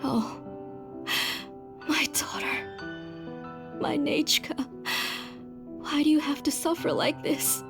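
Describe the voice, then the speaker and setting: Middle-aged mother, rather high voice, gentle, earnest, guilt-ridden, video game drama, faint music. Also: fairly even intonation, slow pace, creaky, pauses often, exaggerated voice. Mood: grieving. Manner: gentle, earnest, sorrowful, sighing. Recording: music behind.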